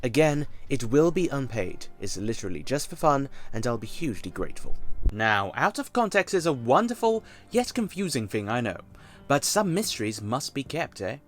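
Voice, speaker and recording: A man's voice: medium pitch, big pitch swings, moderate pace. A man narrating a scripted casting call for a fan audio drama, articulate and formally worded with chatty asides.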